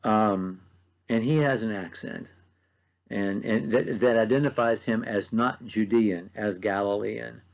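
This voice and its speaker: A man's voice: fairly low pitch, unhurried, vocal fry. A man with an Ohio Valley accent giving a short devotional Bible teaching in an earnest, conversational way, with frequent ums. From mid-Ohio Valley, USA. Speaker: preacher.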